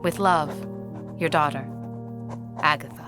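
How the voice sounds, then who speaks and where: An actress playing a reflective young woman who narrates her gothic family tale in a measured, formal, literary way. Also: deep voice, over music. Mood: tender, reflective. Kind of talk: scripted audio drama narration.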